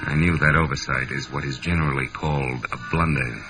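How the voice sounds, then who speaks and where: Low-voiced hard-boiled American detective. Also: male voice, steady tone, brisk, rough recording. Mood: brooding narration. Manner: wry, clipped tough-guy delivery. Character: hard-boiled male private detective, wry and weary. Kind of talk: old-time radio noir acting and first-person narration. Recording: old radio drama with music.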